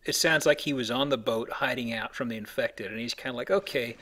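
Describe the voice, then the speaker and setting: A man's voice: mid-range voice, fairly quick, pushed and bright. A man hosting a let's play with casual, explanatory game commentary and a joking streak. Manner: explanatory, jokey, voices paraphrased quotes. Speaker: let's play host and guide.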